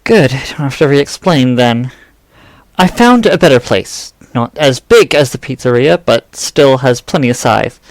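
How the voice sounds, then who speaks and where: A man playing an amiable but worried, practical character in a fan audio drama, speaking haltingly. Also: medium pitch, expressive, no echo. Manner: hesitant, halting.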